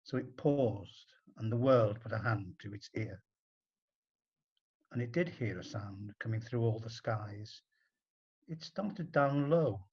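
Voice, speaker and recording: A man narrating a rhyming story in an expressive, dramatic storybook style with deliberate phrasing. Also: fairly high pitch, breathy edge, highs cut off.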